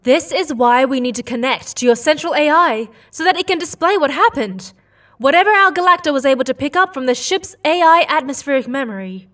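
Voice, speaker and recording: Lady as a worried scifi mom, high earnest voice, sounds away from the mic. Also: lively intonation, fairly quick, a bit bright, distant mic. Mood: insistent. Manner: earnest, insistent. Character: worried, determined alien mother on a space mission. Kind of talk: sci-fi audio drama acting.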